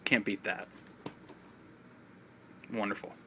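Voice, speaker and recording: A man with a raspy voice and a cough, chatting in a casual, rambling way about films with many fillers. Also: lowish voice, little pitch movement, slow pace, background noise. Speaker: film vlogger. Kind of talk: casual video chat. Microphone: phone.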